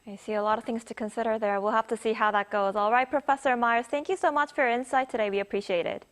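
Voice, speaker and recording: A woman news anchor interviewing a guest in a measured, formal broadcast style. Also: fairly high pitch, fairly even intonation.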